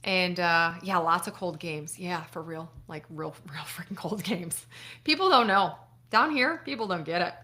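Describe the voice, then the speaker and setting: A woman's voice: on the low side, moderate pace, sharp tone. A middle-aged woman from upstate New York, a doctor chatting casually on a live stream, answering viewer questions, laughing often and swearing now and then. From Buffalo, New York.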